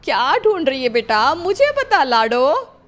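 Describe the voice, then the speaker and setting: Lady doing a worried cartoon mom, high voice, swings up n down, pleading, music behind. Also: big pitch swings, measured pace. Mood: anxious. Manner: fretful, pleading. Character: anxious, doting mother of a sick daughter. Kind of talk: animated story dialogue.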